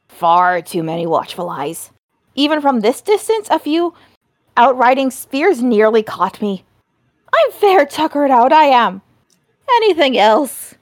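A high, bouncing little imp voice, cheeky and grumbling as a woman narrator puts it on. It swoops up and down, loud and close, with a dry sound from the storybook reading. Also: dry recording. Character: cheeky, weary little male imp in a bronze disc.

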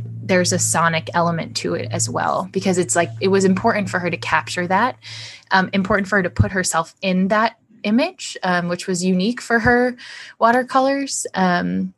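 A young adult woman giving a reflective interview answer as a scholar, thoughtful and searching, with many ums and likes. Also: on the low side. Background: soft background music.

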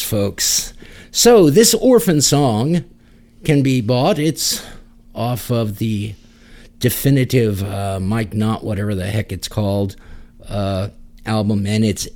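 A man's voice: mid-range voice, darkish tone. A man hosting a casual music podcast, chatting in a joking, rambling way. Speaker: podcast host.

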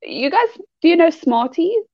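A woman's voice: very high-pitched, big pitch swings, normal speed, dry recording, muffled recording. A woman, a guest chatting casually and in a bubbly way. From South Africa. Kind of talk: casual chat.

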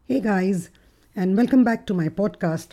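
A woman with a deep, gruff voice hosting a reflective solo podcast as a relationship coach, speaking in a measured, thoughtful way. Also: warm tone. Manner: measured, thoughtful delivery with occasional fillers.

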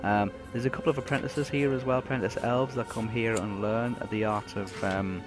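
A man talks in a lowish voice, working through a fantasy scene as game master. He rambles, stalls on fillers and restarts his sentences. Clear music plays underneath him. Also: fairly even intonation. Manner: rambling, halting with many fillers. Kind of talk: narrating a fantasy tabletop game.

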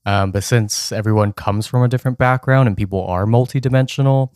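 A man's voice: on the low side, a little fast, darkish tone, dry recording, clean studio sound. A young man co-hosting an educational podcast, earnestly explaining terms in a casual tone. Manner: explains definitions earnestly, some "um" fillers. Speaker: podcast co-host.

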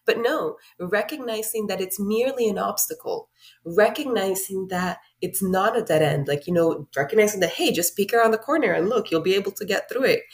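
A woman's voice: mid-range voice, expressive, brisk. A woman from Honduras who works as a life coach, speaking earnestly as a podcast guest. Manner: earnest, reflective, encouraging. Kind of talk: casual podcast interview.

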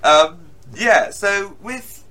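A young man with a bright, forceful voice hosts a casual podcast chat. He talks with easy enthusiasm, jumping in and restarting his sentences. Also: high-pitched, lively intonation. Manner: chatty, enthusiastic, quick restarts. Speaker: podcast host.

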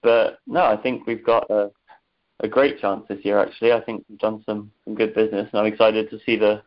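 Irish guest, low and flat, understated, lo-fi. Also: male voice, a little fast. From Ireland, Dublin. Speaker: podcast guest. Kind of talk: casual podcast chat.

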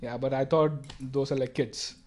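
A man's voice: mid-range voice, steady tone, normal speed, a loud crowd. A man with an Indian accent, a native Tamil speaker, chatting casually on a podcast. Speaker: podcast guest or co-host. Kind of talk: casual chat.

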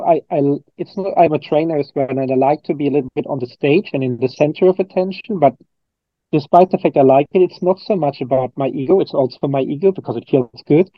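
A man's voice: mid-range voice, dry recording. Male coach, interviewee, rambling and reflective, muffled dry recording. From Germany. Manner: thoughtful, rambling with frequent restarts and fillers. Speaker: coach and trainer. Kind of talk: interview answers.

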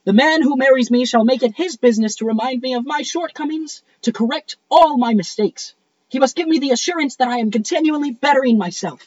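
A woman's voice: high voice, a little fast, darkish tone, highs cut off. A woman playing a haughty, self-important widow in a comedic play reading, speaking in a grand, emphatic, theatrical way. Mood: indignant, imperious.

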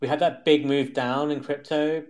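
A man's voice: fairly high pitch, little pitch movement, moderate pace, a little mellow. A man commenting on markets in a casual, explanatory way, often hedging and feeling his way through points.

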